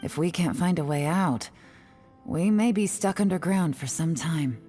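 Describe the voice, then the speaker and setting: A woman playing a cool, capable leader in a video game, speaking calmly and seriously in measured phrases. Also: on the low side, soft background music, close to an everyday voice. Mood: grave, composed. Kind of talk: video game character acting.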